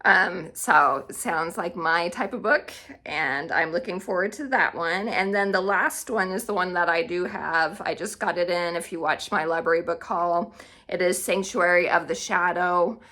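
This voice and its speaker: A woman's voice: fairly low pitch, normal speed, bright, forceful tone. A woman hosting a casual book vlog, talking informally and chattily, often correcting herself as she goes. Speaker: book content creator and host.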